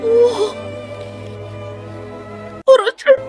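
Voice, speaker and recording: A woman's voice: fairly even intonation, very slow, darkish tone, heavily acted. A woman playing an emotional, pleading young woman torn by secret love in a Cebuano radio drama. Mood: tearful. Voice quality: high-pitched. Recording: music under the voice.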